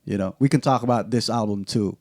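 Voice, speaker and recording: A man with an Indonesian accent hosting a casual podcast chat, talking in halting starts with many restarts. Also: fairly low pitch, darkish tone, dry recording, clean studio sound. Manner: halting, many restarts and fillers, laughs often. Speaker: podcast host.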